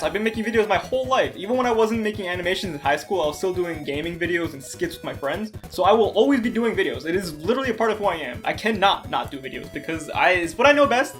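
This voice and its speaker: A young man from Canada with a bright, high voice, running through viewer questions in a loose, joking way. He talks from his bed into a small recorder, and faint music sits under him. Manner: energetic, jokey, quick asides. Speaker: stop-motion animator and channel host. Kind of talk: casual Q&A vlog. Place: bedroom, on a bed. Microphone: handheld recorder.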